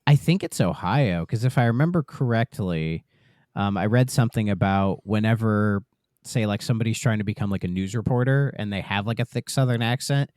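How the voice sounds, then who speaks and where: A man's voice: fairly low pitch, fairly quick, a little mellow, clear, resonant tone, no echo, studio quality. A man hosting a gaming podcast, laid-back and hedging, laughing easily with his guests. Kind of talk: casual gaming chat.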